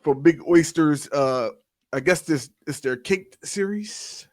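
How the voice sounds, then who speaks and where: A man's voice: fairly high pitch, slow pace, dry recording, studio quality. A man chatting casually as a podcast co-host, informal and slangy with the odd swear word. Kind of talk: casual banter and beer tasting chat.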